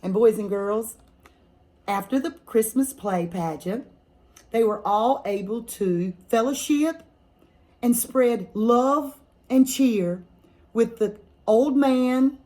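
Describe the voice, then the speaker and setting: Slow-paced woman reading to children. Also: on the high side, darkish tone. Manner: slow, deliberate, questioning young listeners. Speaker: fourth grade teacher reading aloud to children. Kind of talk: expressive children's picture-book read-aloud with asides to listeners.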